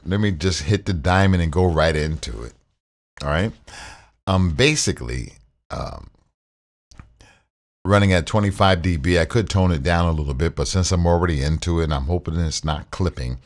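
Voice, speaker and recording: A man with a deep baritone voice giving a relaxed, rambling gear walkthrough into a studio condenser mic in a home studio. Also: normal speed. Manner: relaxed, repetitive, uses fillers. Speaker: tech reviewer. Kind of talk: casual tutorial with screen share.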